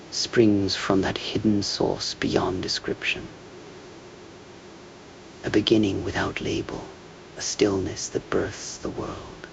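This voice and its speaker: Slow, deep, gravelly man in hushed monologue. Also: a little hiss or hum, low-quality recording. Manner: measured, contemplative. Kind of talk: slow meditative scripted monologue.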